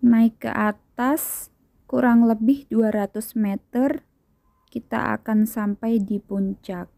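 A woman's voice: on the high side, fairly even intonation, slow pace, creaky, warm tone. A woman narrating a hiking vlog. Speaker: vlogger.

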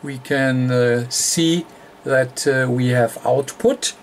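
A man talks through a hands-on technical demonstration in a halting way, pausing often mid-phrase. His pace is extremely slow and his pitch sits in the middle for a male voice. He is recorded indoors at a workbench on a camera mic, with faint noise behind him. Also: fairly even intonation. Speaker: electronics tutorial host.

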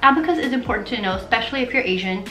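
A young woman from Portugal, talking casually to camera in an animated, chatty vlog style while gesturing with her hands. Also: fairly high pitch, racing, echoey room, faint music behind. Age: young adult. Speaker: vlogger.

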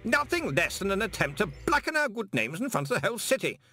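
Man acting shrill, outraged, blustering businessman. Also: big pitch swings, quick pace, bright, forceful tone, faint music behind, full character voice. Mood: indignant, outraged. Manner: protesting, shrill, self-righteous. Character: indignant, blustering businessman, outraged and self-righteous.

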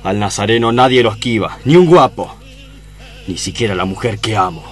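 A man's voice: fairly low pitch, animated, normal speed. An actor playing a proud, lovesick tough guy in a tango radio play, with an Argentine accent and poetic, dramatic delivery. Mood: proud, demanding. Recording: music behind the voice.

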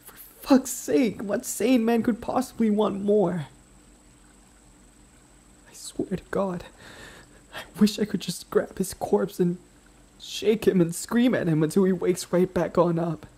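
A man playing a gentle, timid, grieving best friend in an intimate audio roleplay, speaking softly and haltingly as he confides. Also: high-pitched, slightly rough, full character voice. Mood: anguished, angry. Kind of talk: boyfriend ASMR audio roleplay, intimate dramatic acting. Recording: noticeable background ambient noise.

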